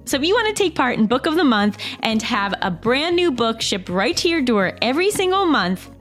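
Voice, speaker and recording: Animated woman podcaster with a higher voice. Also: fairly quick, faint music behind. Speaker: podcast co-host and ad reader. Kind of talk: casual chat and conversational sponsor read.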